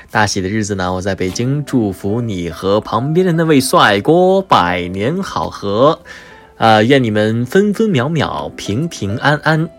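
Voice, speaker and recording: Animated young man giving playful blessings. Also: mid-range voice. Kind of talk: spoken wedding blessing. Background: faint music.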